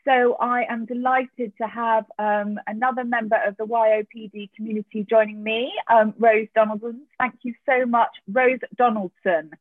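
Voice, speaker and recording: A woman's voice: fairly high pitch, fairly even intonation, normal speed, hardly any pauses, no echo. A woman hosting a podcast interview, encouraging and chatty, with hesitant fillers. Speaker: podcast host. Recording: tinny phone-like line, dry.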